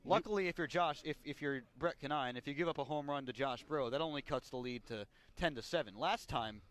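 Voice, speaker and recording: Higher-voiced American man calling baseball play-by-play. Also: fairly quick, fairly bright tone. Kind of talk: live radio sports commentary. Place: ballpark radio broadcast.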